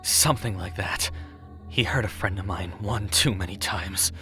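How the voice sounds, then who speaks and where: A man's voice: low voice, moderate pace, bright, forceful tone, soft background music. A man playing a brooding, guarded, serious death god in an audio drama, speaking in a terse, dry, earnest way. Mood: grim, resolute. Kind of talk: audio drama character acting.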